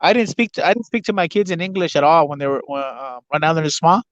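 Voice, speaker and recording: A man chatting casually as a talk show panelist in hesitant, halting phrases, on dull lo-fi audio. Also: fairly high pitch, no echo. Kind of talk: casual chat.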